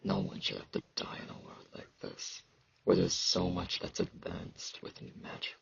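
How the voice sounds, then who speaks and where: A man playing an aloof, battle-hardened fantasy knight in an ASMR roleplay, halting and terse, with a husky, gravelly voice and sibilant S sounds. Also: big pitch swings, muffled recording, very noisy, full character voice. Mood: resigned, stoic. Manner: halting, terse, low-key, socially awkward.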